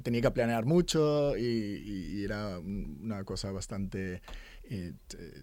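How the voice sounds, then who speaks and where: Halting middle-aged man, mellow voice. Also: on the low side, dry recording. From Germany. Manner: halting, many fillers and restarts. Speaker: interview guest, artist's son. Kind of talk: conversational interview.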